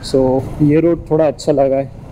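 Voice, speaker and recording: Higher-pitched young Indian man chatting casually. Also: level tone, measured pace, mellow, poor audio. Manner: informal, chatty. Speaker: motovlogger. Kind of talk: casual vlog chat while riding, Hindi mixed with English. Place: outdoors, riding a motorbike on village roads. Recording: clear vehicle and road noise. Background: engine and road noise.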